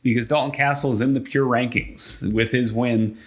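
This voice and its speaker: A man's voice: medium pitch, steady tone, normal speed. A man co-hosting a podcast in a casual conversational style. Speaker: podcast co-host.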